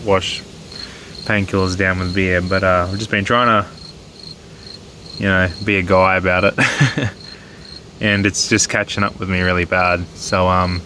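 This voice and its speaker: Nasal Australian man, crickets behind. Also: low-pitched. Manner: chatty, easygoing, rambling with ums. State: nasal and hoarse from a cold and sore throat. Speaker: camping vlogger. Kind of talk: casual vlog narration. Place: campsite outdoors at night. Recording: outdoor night noise with crickets. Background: crickets and insects.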